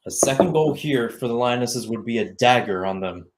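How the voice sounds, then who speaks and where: A man's voice: medium pitch, expressive, normal speed, slight background noise. Young man calling live soccer play-by-play. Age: young adult. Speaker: play-by-play commentator. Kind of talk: live radio soccer commentary.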